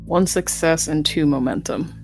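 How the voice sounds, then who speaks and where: A woman's voice: low-pitched, moderate pace, faint music behind. A woman chatting casually as a player at a tabletop game.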